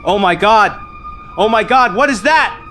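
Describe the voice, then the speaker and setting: A man playing a panicked young man phoning for emergency help in an audio drama, halting and repeating himself. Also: high-pitched, lively intonation, bright, forceful tone, a little hiss or hum, exaggerated voice. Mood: screaming terror.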